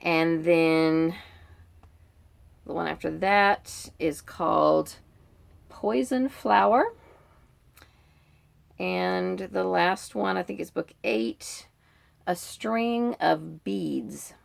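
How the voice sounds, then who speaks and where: A woman with a fairly low-pitched voice talks casually, as a book vlogger would. Her delivery is informal and meandering, and she often breaks off her own sentences to add an aside. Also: very slow, vocal fry, pauses often. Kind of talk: casual chatty reading-list vlog.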